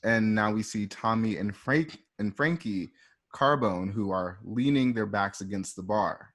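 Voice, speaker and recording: A man's voice: fairly low pitch, a little slow, fairly bright tone. A man reading script stage directions in a casual, plain-spoken way at an informal table read.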